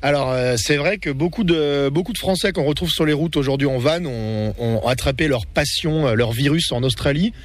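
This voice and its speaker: A man guest on a radio interview, explaining in a measured, reflective way. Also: a little high.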